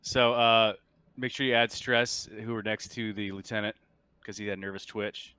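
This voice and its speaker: A man running a tabletop roleplaying game as game master, with a crisp, clear voice. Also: lowish voice, fairly even intonation, normal speed, bright, forceful tone, no echo.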